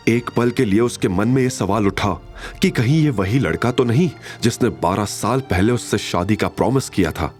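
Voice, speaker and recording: Low-pitched man narrating with drama. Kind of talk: dramatic scripted story narration.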